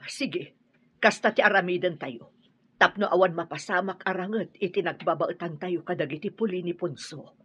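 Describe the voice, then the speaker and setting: Very husky, anxious Ilocano woman. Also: on the low side, moderate pace, highs cut off. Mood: agreeing, wary. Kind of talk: Ilocano radio drama acting.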